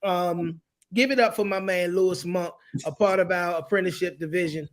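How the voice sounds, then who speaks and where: A man's voice: high-pitched, steady tone, brisk. A man hosting a live-stream show, talking in a hyped, slangy, effusive way. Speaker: show host. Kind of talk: casual live-stream chat.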